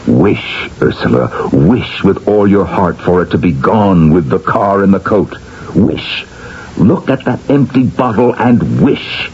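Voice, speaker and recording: A man's voice: deep voice, lively intonation, slow pace, creaky, darkish tone, full character voice. An actor playing an earnest, guilt-ridden husband in an old-time radio drama, insistent and emphatic as he persuades. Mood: fervent, insistent. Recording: muffled vintage radio, faint hiss.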